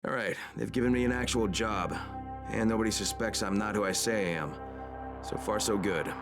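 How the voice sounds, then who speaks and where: A man's voice: lowish voice, normal speed, a bit bright, faint music behind. An actor playing a wary male undercover agent in a video game, speaking informally. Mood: guarded.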